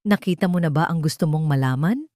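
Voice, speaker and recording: A woman playing a menacing male mentor in an audio drama, calm on the surface, slow and taunting. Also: low-pitched, no room sound, studio quality, close to an everyday voice. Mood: calm menace.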